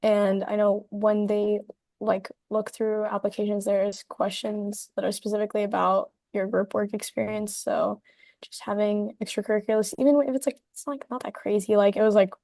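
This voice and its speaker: A woman's voice: medium pitch, a little mellow, no room sound. A young woman, a university student panelist, rambling casually with many fillers and restarts. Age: young adult.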